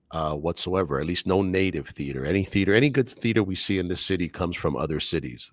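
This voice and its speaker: Deep-voiced articulate man, careful diction. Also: no echo, clean studio sound. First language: Spanish. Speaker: English teacher and guest. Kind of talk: casual podcast interview.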